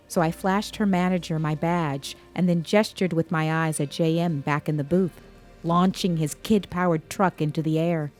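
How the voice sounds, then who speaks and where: An actress playing a tense, caring police investigator, narrating a grim story in measured, literary first person. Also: female voice, low voice, a little mellow, close to an everyday voice. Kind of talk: first-person scripted audio drama narration.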